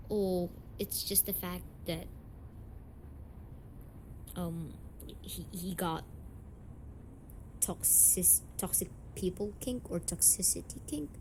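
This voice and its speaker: A young woman playing a blunt, defensive tsundere delinquent girlfriend in an amateur romantic audio roleplay, speaking haltingly and swearing. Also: fairly high pitch, slight background noise, low-quality recording. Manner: hesitant, halting, foul-mouthed, defensive.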